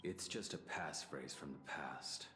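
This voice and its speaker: A man playing an imposing, cryptic video game character, with a slow, terse and composed delivery. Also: a bass voice, pushed and bright, heavily acted. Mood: calm, cryptic. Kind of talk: dramatic video game voice acting. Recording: echoey reverberant hall, faint music.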